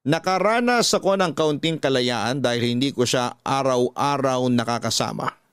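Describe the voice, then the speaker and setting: A man's voice: mid-range voice, normal speed, a bit bright, smooth, dry recording, clean studio sound. A man with a Filipino accent narrating a scripted first-person story as host of a radio drama.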